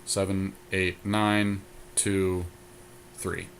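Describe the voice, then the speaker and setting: A man's voice: low-pitched, flat delivery, drawn-out words, vocal fry, a little hiss or hum. A man co-hosting a casual podcast chat about a card game, thinking aloud as he goes. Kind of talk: casual back-and-forth chat while building a card deck.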